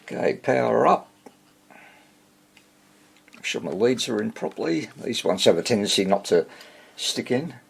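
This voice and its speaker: A man giving casual, hands-on repair commentary as he hosts a video, thinking aloud in a husky voice. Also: mid-range voice, animated, a little slow, pauses often. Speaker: vintage radio repair hobbyist hosting video.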